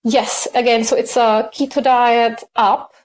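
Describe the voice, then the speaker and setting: A woman's voice: a little high, flat delivery, a little slow. A woman, a cookbook author answering interview questions, hesitant with many fillers and self-corrections. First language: Czech.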